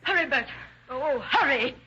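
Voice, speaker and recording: A woman's voice: high-pitched, animated, a little slow. An actress playing a desperate, frightened American woman, pleading and melodramatic, in an old-time radio drama. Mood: urgent, panicky. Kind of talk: 1940s radio drama acting. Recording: dull, noisy vintage radio recording.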